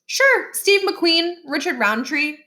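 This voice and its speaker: A sardonic young schoolgirl played by a woman in a storybook. She talks casually and quickly takes people down a peg, deadpan and unimpressed. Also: very high-pitched, voice pushed up, full character voice. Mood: wry, unimpressed.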